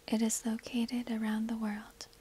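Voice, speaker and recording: A woman whispering breathily in a hushed, deliberate ASMR narration, close to a binaural microphone. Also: fairly high pitch, completely flat delivery, slight background noise. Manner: slow, deliberate, hushed delivery. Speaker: ASMR video host and shopkeeper.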